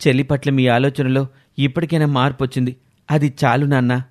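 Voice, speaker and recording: A man's voice: medium pitch, level tone, a little fast, a little mellow, close to an everyday voice. A man voicing a caring, indignant young son in a storybook tale, earnest and questioning. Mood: curious, surprised.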